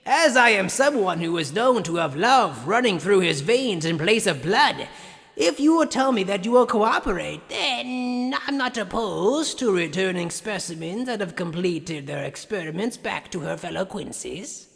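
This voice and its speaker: A man's voice: high-pitched, expressive, moderate pace, gravelly, a bit bright, exaggerated voice. A man voicing an arrogant, sadistic mad scientist in an anime fan dub, theatrical and condescending with mock politeness, recorded in long reverb. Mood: smug lecturing.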